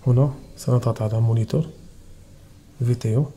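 A man's voice: mid-range voice, steady tone, a little fast, warm tone, a little hiss or hum. A Moroccan man with a Moroccan Arabic accent, hosting a technical tutorial and explaining each step of a device setup. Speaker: channel host.

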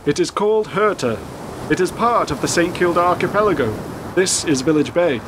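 A man's voice: high-pitched, lively intonation, a little fast, background noise. A man playing a polite, reassuring, understated government official in a radio play. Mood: calm, reassuring.

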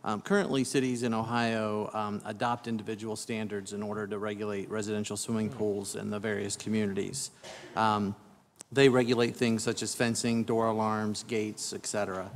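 A man's voice: fairly low pitch, flat delivery, normal speed. A man who is a city department director, briefing the council plainly and steadily at a desk microphone. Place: large city council chamber. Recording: long reverb of a large chamber.

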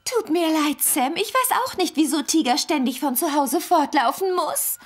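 Worried cartoon mum: fretful, very high register, lively melody. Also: female voice, moderate pace. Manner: worried, emotional. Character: anxious, fretful cartoon mother. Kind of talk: animated series dub acting.

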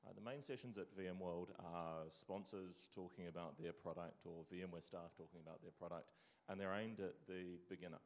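A man's voice: sits low, fairly even intonation, fairly quick. A man with a New Zealand accent, speaking as a panelist at a conference talk about running a community project.

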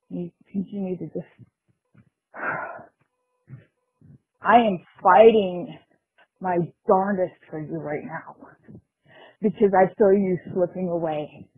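A woman's voice: fairly low pitch, slightly rough, no room sound. A woman leaving a personal, conversational voicemail message, recorded over a lo-fi phone line.

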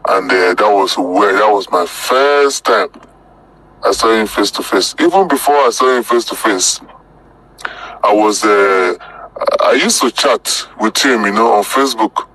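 A Nigerian-accented man tells his own story in a casual way. His voice sits slightly low but has a bright, forceful edge. He moves in short, halting phrases and often repeats himself. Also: breathy edge, rough recording. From Delta State, Nigeria. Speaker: storyteller recounting personal experience. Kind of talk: casual spoken testimony.

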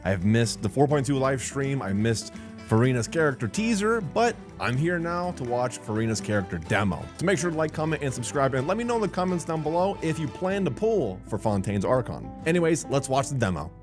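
A young man streams a casual, excited reaction over music. His pitch moves around a lot and he exclaims often. He speaks close to a desk mic in a small home room. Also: a little fast. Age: young adult. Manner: enthusiastic, exclamatory. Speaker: streamer reacting to a game trailer. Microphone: close desk microphone on an arm. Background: music from the game trailer.

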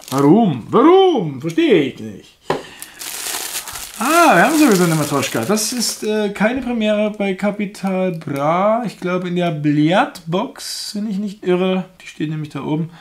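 A young man chats his way through an unboxing in German with a slight foreign lilt. His voice sits a little high, and his talk is frank and easygoing, often poking fun at himself. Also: slight background noise. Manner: chatty, frank, laughs at himself. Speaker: unboxing reviewer. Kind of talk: casual unboxing commentary.

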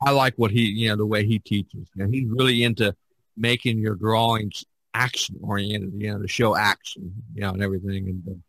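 A man hosting a casual podcast chat, speaking haltingly and often repeating his words. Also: on the low side, fairly even intonation, fairly bright tone, no room sound, low-quality recording. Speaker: podcast host.

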